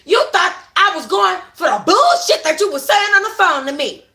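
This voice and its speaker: A woman's voice: extremely high pitch, big pitch swings, normal speed, sharp tone, echoey room, pitched above the performer's own voice, full character voice. A woman voicing a furious, scorned young woman who screams taunts in a foul-mouthed, confrontational way, in an audiobook-style story reading. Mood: enraged.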